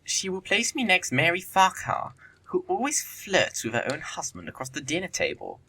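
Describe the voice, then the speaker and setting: A man's voice: high voice, huge pitch swings, normal speed, pushed and bright, a little hiss or hum, heavily acted, poor audio. A man playing a flippant, witty young upper-class bachelor in a period comedy audio play, with an arch, theatrical delivery. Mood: mock indignant.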